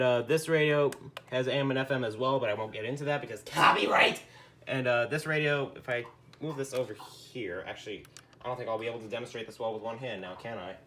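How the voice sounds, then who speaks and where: A young man with an ordinary middle-pitched voice talks loosely and unscripted, wandering off topic and swearing under his breath now and then. A faint hiss sits under the recording. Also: a bit bright. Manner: laid-back and rambling, with mild swearing. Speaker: radio collector showing his collection. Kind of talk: casual rambling product demo.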